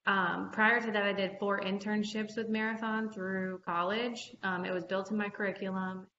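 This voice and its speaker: Woman, late 20s, from Ohio, businesslike presenter, roomy echo, muffled low-fi. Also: little pitch movement, normal speed, sharp tone. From Ohio, United States. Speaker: projects engineer presenting. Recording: echoey room, muffled low-fi, faint hiss.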